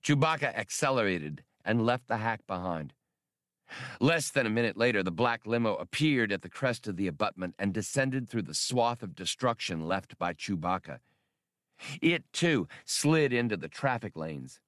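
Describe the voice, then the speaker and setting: Lively male audiobook narrator. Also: on the low side, normal speed, no room sound, studio quality. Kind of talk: scripted dramatic narration of an adventure novel.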